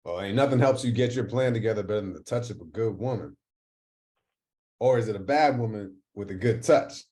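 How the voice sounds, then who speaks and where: A man's voice: lowish voice, a little fast, warm tone, lightly acted. A man acting a teasing, womanizing, folksy soldier in a live screenplay read. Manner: joking, folksy.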